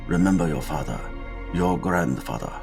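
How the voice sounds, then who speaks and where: A man voicing a grave, fatherly old mutant rat master in a motion comic, solemn and admonishing, with a gravelly voice. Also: low voice, moderate pace, over music. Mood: solemn warning.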